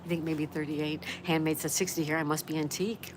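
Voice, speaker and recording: A woman's voice: deep voice, flat delivery, brisk, noisy background. A woman in her sixties hosting a casual livestream, chattily reading out comments and greeting viewers. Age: 60s. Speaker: livestream host.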